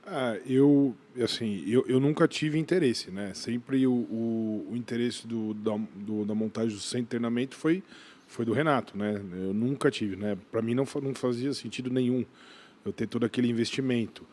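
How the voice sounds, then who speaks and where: A man's voice: mid-range voice, moderate pace, darkish tone. A man with a Brazilian accent answering as a podcast guest in casual conversation, often restarting phrases, repeating words and adding short tag questions. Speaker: podcast guest, gym brand owner.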